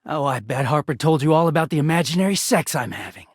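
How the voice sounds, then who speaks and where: A man's voice: a little high, fast, husky, no echo, studio quality. A man voicing a bitter, exasperated, sardonic, foul-mouthed young male living-laundry character in a video game. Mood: bitter, resentful. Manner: sardonic, foul-mouthed, swinging from muttering to shouting.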